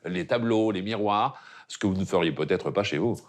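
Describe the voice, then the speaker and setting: A man's voice: low-pitched, normal speed. A male television presenter doing a chatty, playful on-location interview in a shop. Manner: chatty, playful, leading questions. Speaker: TV home-decor show presenter. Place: indoor decor shop.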